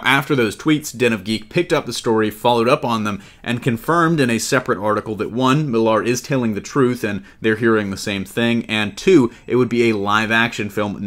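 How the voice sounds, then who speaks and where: A man's voice: on the low side, fairly bright tone. A man hosting a casual entertainment news discussion, talking in a conversational, joking way. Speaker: podcast host.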